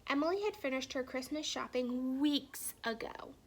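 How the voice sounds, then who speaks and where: A woman reading a children's story aloud in an expressive, animated storytelling manner with hushed, dramatic phrasing. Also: high voice, moderate pace. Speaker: teacher or reader reading a children's picture book aloud.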